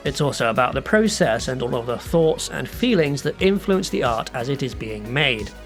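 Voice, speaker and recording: A man with a fairly high voice and very lively intonation narrates a scripted behind-the-scenes art video. His delivery is reflective and conversational, with a self-deprecating streak. Music plays behind him. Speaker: digital artist narrating a making-of video.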